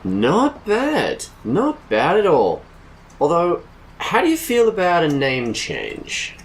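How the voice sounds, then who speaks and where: A man playing a wary, jittery but bossy space pilot with an expressive, wisecracking manner in an audio drama. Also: on the high side, slow pace, exaggerated voice. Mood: wry. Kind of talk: scripted audio drama acting.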